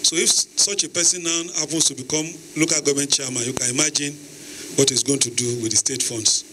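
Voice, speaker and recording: Mid-pitched Nigerian man, halting delivery. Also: fairly even intonation, noisy background. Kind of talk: unscripted public remarks.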